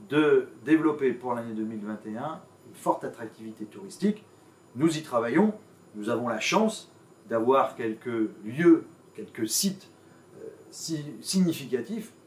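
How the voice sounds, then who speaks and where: Distant, roomy man giving formal address. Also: medium pitch. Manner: measured, emphatic phrasing with pauses and hesitations. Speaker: mayor and intercommunal president.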